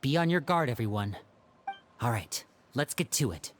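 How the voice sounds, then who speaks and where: A man voicing a poised young man from a game cutscene whose pitch moves around expressively. He explains things calmly and reasonably, aiming to reassure and persuade the listener. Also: moderate pace, slight background noise, natural voice. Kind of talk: video game cutscene dialogue.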